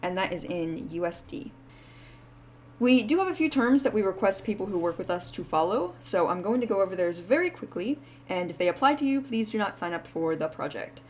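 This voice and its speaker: A woman's voice: mid-range voice, expressive, a little mellow, a little hiss or hum. A woman VTuber announcing voice acting auditions for her indie game, reading the terms in a casual way with lots of "like" fillers. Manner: casual, filler-heavy, reads terms out quickly. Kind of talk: casting call announcement.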